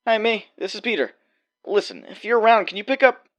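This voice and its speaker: A man's voice: high voice, lively intonation, a little fast, fairly bright tone. A man playing an anxious, wry young superhero in a comic dub, brooding and self-doubting. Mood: casual, uneasy. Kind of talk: dramatic second-person narration and dialogue, comic dub. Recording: echoey room with faint background noise.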